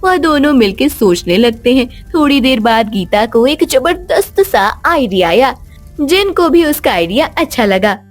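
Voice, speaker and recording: A woman's voice: sits high, expressive, unhurried, soft background music. A woman narrating a scripted children's story as a storyteller.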